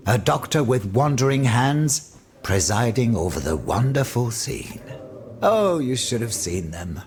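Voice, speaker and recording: Theatrical, swooping French nobleman. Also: medium pitch. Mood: mocking relish. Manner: florid, theatrical, declamatory. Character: flamboyant, indignant French nobleman with a theatrical flair. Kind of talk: video game cutscene dramatic acting.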